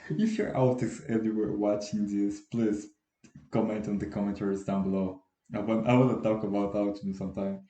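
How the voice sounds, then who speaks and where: Dark-voiced young Brazilian man, echoey room. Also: on the low side, little pitch movement. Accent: Brazilian Portuguese. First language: Portuguese. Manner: self-deprecating, halting with restarts and nervous laughs. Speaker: podcast host. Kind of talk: casual solo podcast monologue. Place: room at home, dogs barking outside.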